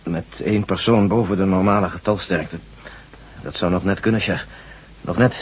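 Woozy military man, deep and husky, hesitant thinker, sci-fi radio drama, dull sound. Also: fairly even intonation. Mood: dazed, cooperative. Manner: hesitant, halting, musing. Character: groggy male general and ex-spaceman, slowly regaining his wits. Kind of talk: Dutch science-fiction radio drama acting. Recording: muffled old radio drama recording.

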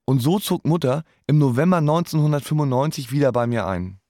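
An actor playing a wry grown son who narrates in a matter-of-fact way in a radio drama. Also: male voice, mid-range voice, fairly quick, noisy background, close to an everyday voice. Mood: wry, sad. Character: wry grown son recalling his ailing mother. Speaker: first-person narrator.

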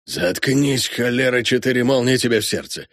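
A man voicing a gruff, mocking, foul-mouthed unseen spirit with coarse, folksy speech in an audiobook. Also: medium pitch, moderate pace, fairly bright tone, no echo, studio quality, voice pushed up, natural voice. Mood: irritated.

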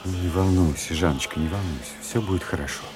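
An actor playing a calm but troubled, conscientious doctor, speaking soothingly in a radio play. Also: male voice, low-pitched, fairly quick, faint music behind, natural voice.

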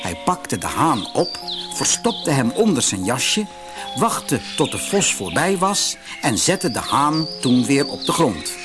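A man narrating a children's fairy tale audiobook in an expressive storytelling manner. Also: medium pitch, slow, music in the background.

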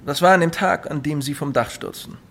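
An actor playing a calm, patient professional man, reassuring and methodical, in a German radio drama. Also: on the high side, fairly quick. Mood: probing. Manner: composed, reassuring, methodical questioning. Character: calm, patient male professional advising a troubled client.

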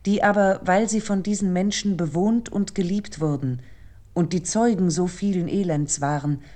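A woman narrating a scripted literary essay for radio in a measured, reflective and formal manner. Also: lowish voice, dark tone.

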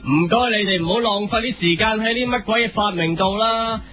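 Man as a brash high-pitched cartoon brawler hero. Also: fairly quick, natural voice. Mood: brash, cocky. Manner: brash, cocky. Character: hot-headed, brawling male cartoon hero, loud and cocky. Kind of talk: cartoon parody radio acting. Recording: dull lo-fi, music behind.

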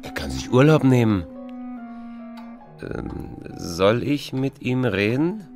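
An actor in a radio play, playing an ingratiating, insinuating clerk with a deliberate, drawn-out way of talking. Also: male voice, medium pitch, expressive. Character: smooth-talking, insinuating clerk recommending a colleague. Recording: studio radio play with guitar music behind.

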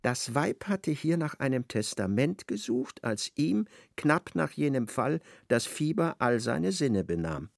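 A man's voice: medium pitch, very animated, unhurried, creaky, hardly any pauses, dry recording, clean studio sound. A man reading scripted literary narration as the narrator of a radio play.

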